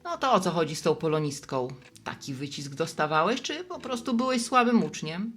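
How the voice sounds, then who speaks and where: Radio play barkeeper, played by an actress: middle-aged woman, deep register, animated delivery, wise and gently teasing. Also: normal speed. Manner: conversational, gently probing and teasing.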